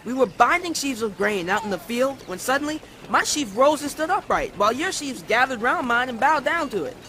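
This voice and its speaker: Bright-voiced eager young shepherd. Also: male voice, very high voice, expressive, fairly quick, exaggerated voice. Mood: excited, earnest. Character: eager, naive seventeen-year-old dreamer shepherd boy. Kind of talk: audio Bible drama acting.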